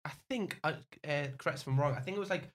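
A man's voice: fairly high pitch, fast talker, a bit bright. A man hosting a casual podcast interview, asking questions with hesitant fillers. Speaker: podcast host.

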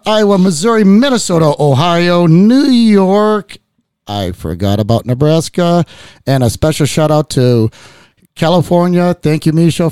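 A man's voice: fairly high pitch, a little mellow, clear, resonant tone, dry recording. A man from Chicago hosting a casual podcast in a studio, talking in a rambling way with lots of restarts and fillers. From Chicago, United States. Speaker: podcast host.

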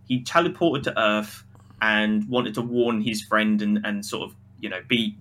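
A man's voice: on the low side, measured pace. A man co-hosting a podcast, giving an opinionated, casual film review in an emphatic way, acting out mock quotes as he argues. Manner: emphatic, animated arguing with acted-out mock quotes.

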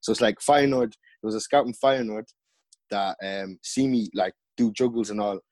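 A young man from the Netherlands, a music artist and podcast guest, telling stories in relaxed, slangy casual chat with lots of fillers. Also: on the low side, moderate pace, no echo.

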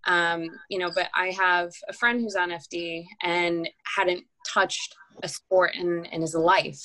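A woman hosting a casual podcast conversation, relaxed and teasing. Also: lowish voice, a little slow, rough recording. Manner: relaxed, many "um" fillers, easy teasing. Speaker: podcast host.

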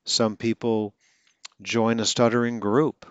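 A man's voice: medium pitch, little pitch movement, slow pace, smooth, no room sound. A man from Atlanta, Georgia, speaking as a speech coach in a casual, earnest way, with occasional stutters and self-corrections. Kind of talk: casual talk.

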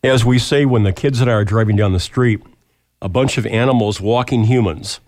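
A man's voice: medium pitch, fairly quick, darkish tone. A man hosting a radio show with casual banter and folksy, playful phrasing, on a studio microphone.

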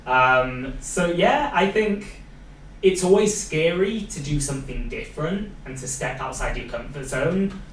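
A man's voice: fairly high pitch, expressive, a little slow, a bit bright. Welsh man in an echoey room. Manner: encouraging, reflective, frequent "like" and "you know". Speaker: podcast host interviewing a young athlete. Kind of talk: casual interview. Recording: roomy, distant mic, faint background noise.

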